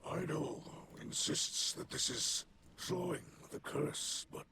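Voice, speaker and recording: An actor voicing an ailing, proud male alien leader with a breathy, hissing voice, speaking slowly and gravely. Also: a little high. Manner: slow, grave, dramatic rises. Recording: game soundtrack under voice. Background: music.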